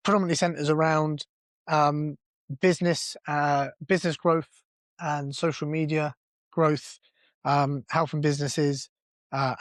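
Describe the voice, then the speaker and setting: A man's voice: on the high side, dry recording, studio quality. A man co-hosting a business podcast, talking bluntly and casually, with some swearing and self-mockery. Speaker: podcast co-host.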